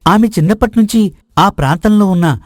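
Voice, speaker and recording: A man acts out a prince's companion in a storybook drama. He is a knowing, eager tale-teller with a notably high male voice, recorded dry and close. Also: a little fast, no room sound. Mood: intriguing, explanatory. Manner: explanatory storytelling. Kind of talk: dramatized moral story dialogue.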